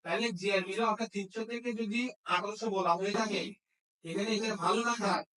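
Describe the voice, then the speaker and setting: High-pitched male instructor, echoey and distant. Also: steady tone, fast talker, pushed and bright. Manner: checks understanding with frequent questions. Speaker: phone repair instructor. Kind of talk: hands-on classroom demonstration. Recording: echoey room, distant microphone.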